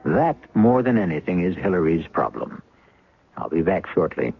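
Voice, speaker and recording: Deep-voiced male host for an old radio mystery, wry and measured, lively pitch, tinny broadcast. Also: vocal fry, music in the background. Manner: wry, measured, addressing listeners. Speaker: host and narrator. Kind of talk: radio drama host narration.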